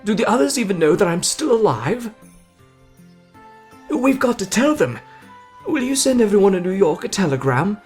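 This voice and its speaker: A man playing a brash, excitable young hero in a dramatic, playful ASMR roleplay addressed to the listener. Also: high voice, normal speed, pauses often, faint music behind, voice pushed up. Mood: eager, playful.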